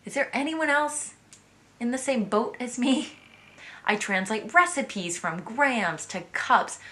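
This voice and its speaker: American woman vlogger in her thirties, bright and playful, chatting with asides to the camera. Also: on the high side, expressive, slow pace. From United States. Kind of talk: casual vlog chat to camera.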